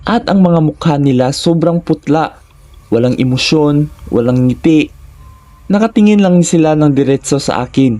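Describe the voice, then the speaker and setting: A man with a Filipino accent reading a scripted first-person horror story in measured, short suspenseful phrases. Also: a little high, warm tone.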